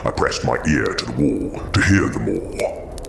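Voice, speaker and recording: A haunted giant creature for an audio drama, super low and slow, gentle but weary, noisy background. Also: male voice, big pitch swings, gravelly, heavily acted. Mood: wistful. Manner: slow, weighted, halting delivery.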